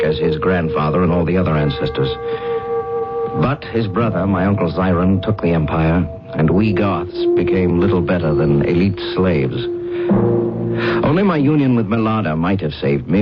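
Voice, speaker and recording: An actor playing a proud, bitter disgraced alien space captain in a radio drama, with formal, theatrical defiance. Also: male voice, low-pitched. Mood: bitter, brooding. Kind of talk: old-time radio drama acting. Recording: dull, noisy radio drama recording.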